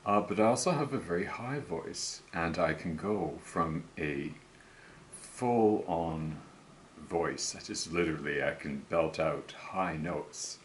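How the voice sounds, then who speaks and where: An older man with a lowish voice speaks very slowly, musing aloud in a relaxed, self-assured ramble. A faint hiss sits under the recording. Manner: slow, musing, self-assured, breaks into song. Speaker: home singer recording covers. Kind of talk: rambling solo monologue to camera.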